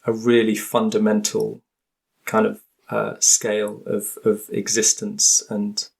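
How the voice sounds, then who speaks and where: Man, low voice, vocal fry, halting, restarts sentences, interview guest. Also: completely flat delivery. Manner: hesitant, many restarts and fillers. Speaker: linguist guest. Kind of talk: thoughtful interview talk.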